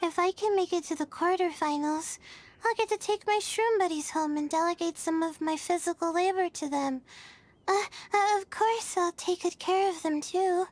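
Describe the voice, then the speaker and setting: A woman voicing a timid, drowsy young female student in a video game, soft, girlish, hesitant and self-effacing. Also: very high-pitched, normal speed. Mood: shy, hesitant.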